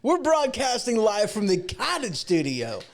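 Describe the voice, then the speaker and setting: Male host, slightly high voice, casual chat, rambling, informal. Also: wildly swooping pitch, unhurried. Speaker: livestream podcast host. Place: home podcast studio.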